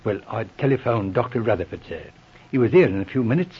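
Subdued, deferential butler, husky. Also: male voice, fairly low pitch, lively intonation, fast talker. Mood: subdued, grave. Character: deferential, shaken butler giving testimony. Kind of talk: 1940s radio drama acting. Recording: muffled old radio recording.

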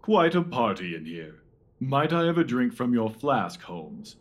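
Victorian detective, male actor, officious and pompous, rough-edged voice with swooping delivery, audio mystery drama. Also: mid-range voice, big pitch swings, unhurried. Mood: jovial, self-satisfied. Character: pompous Victorian police detective man, self-satisfied, officious.

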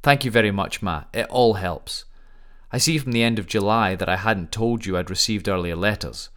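Man acting young wartime airman, lowish pitch, earnest, fond, dutiful, dramatised letter reading. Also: moderate pace, a bit bright, natural voice.

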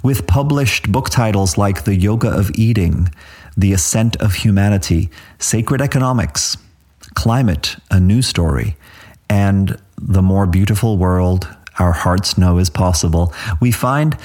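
A man with a low voice presents scripted commentary as a podcast host. His delivery is measured and articulate, with a dry, wry edge. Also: darkish tone.

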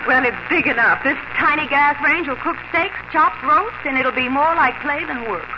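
A woman's voice: high voice, normal speed, natural voice. A young woman playing an eager, persuasive grown daughter in a 1950s radio drama, gushing and emphatic. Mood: excited coaxing. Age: young adult. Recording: very noisy, dull 1950s radio recording.

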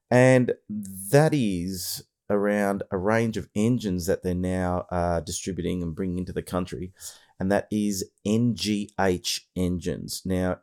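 A hoarse Australian man with a very low voice talks through his podcast in a loose, rambling way, full of fillers and restarts. He sounds middle-aged and a little worn, as if he is losing his voice. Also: a little mellow. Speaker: podcast host. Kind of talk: casual podcast monologue.

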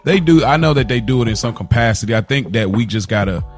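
A man's voice: medium pitch, expressive, fast talker, soft background music. A man co-hosting a podcast in a casual, conversational way, often checking that he is understood. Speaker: podcast co-host. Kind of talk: casual chat.

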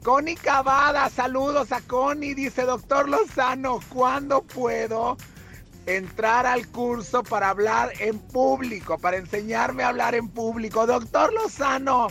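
Man doing a shrill, gushing sidekick lady. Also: fairly even intonation, unhurried, gravelly, bright, forceful tone, soft background music, heavily acted. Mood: chatty. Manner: theatrical, fawning, sing-song. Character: gushing flirty woman sidekick, over-the-top. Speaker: show sidekick.

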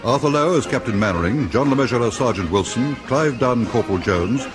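A man's voice: medium pitch, expressive, a little fast. A man reading formal scripted credits as a radio announcer. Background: music.

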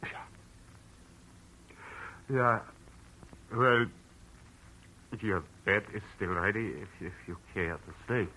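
An actor playing a grieving, kindly older rural man who speaks haltingly, in 1940s radio drama style. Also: lowish voice, sharp tone. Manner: halting, hesitant. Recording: noisy old radio recording.

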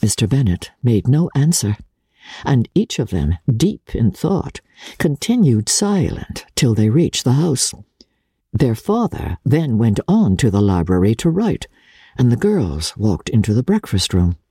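A woman's voice: very deep voice, sing-song, measured pace, dark tone, slightly rough, no echo, clean studio sound. A woman reading literary narration as an audiobook narrator, in a scripted storytelling style.

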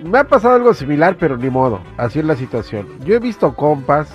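A man co-hosting a radio call-in show, giving animated opinions in banter with the host. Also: on the high side, fast, dull audio, soft background music.

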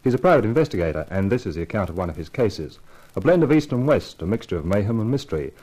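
A man's voice: lowish voice, sing-song, fast, dark tone, husky, rough recording. A man hosting a scripted radio mystery, introducing it in a formal showman's manner. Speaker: author-host introducing the story.

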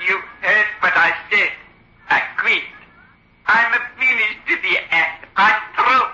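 An actor playing a sinister talking ventriloquist's dummy in a radio horror drama, cold, deliberate and threatening. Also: male voice, high-pitched, pushed and bright, full character voice. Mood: defiant, sneering. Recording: dull lo-fi old radio recording.

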